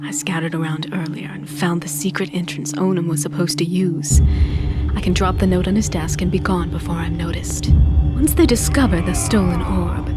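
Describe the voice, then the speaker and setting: An actress playing a resolute, bitter young seafaring woman bent on revenge, narrating a game briefing in a brooding, deliberate way. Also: lowish voice, close to an everyday voice. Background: music.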